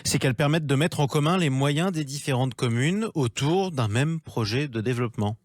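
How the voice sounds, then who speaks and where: A man's voice: mid-range voice, a little fast, no room sound, clean studio sound. A man interviewing a guest on radio in a conversational, questioning style. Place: dry radio studio.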